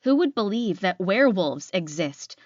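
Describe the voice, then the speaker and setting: A woman's voice: mid-range voice, very animated, moderate pace, no room sound, highs cut off. A woman reading first-person fiction as a scripted audiobook narration.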